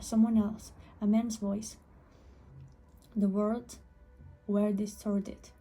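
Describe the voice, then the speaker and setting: A woman's voice: mid-range voice, warm tone, breathy edge, poor audio. A woman reading a scripted first-person horror story as a storyteller.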